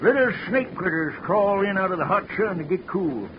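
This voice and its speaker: A grizzled old mule driver, his voice pitched high and reedy, with a slow folksy drawl. He talks plainly and gruffly. The sound is muffled, like an old radio broadcast. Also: animated, background noise. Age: older. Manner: folksy drawl, hollering at mules. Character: crusty old male desert mule skinner. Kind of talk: 1940s radio acting. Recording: dull old radio recording.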